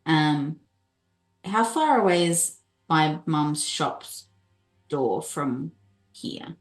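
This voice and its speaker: A woman's voice: deep voice, expressive, very slow, faint music behind. A woman chatting as a player in a tabletop game, thinking aloud hesitantly. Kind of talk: casual game chat.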